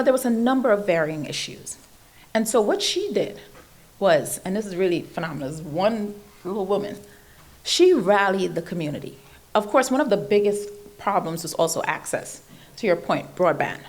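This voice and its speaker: A woman from Trinidad with a Trinidadian accent, giving an animated motivational speech at an event, building emphatic lists and laughing often. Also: fairly low pitch. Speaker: keynote speaker. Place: event stage.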